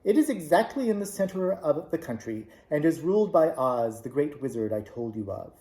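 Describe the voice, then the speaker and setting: A man voicing a kindly little old witch woman in a storybook reading, with a gentle, solemn manner, raised higher than his own voice. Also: very animated, moderate pace. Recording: echoey room.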